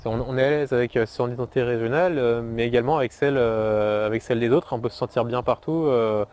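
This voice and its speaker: A man answers an interview question thoughtfully. He pauses often on fillers, in a mid-pitched voice. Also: steady tone, darkish tone, clean tone. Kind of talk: casual interview talk.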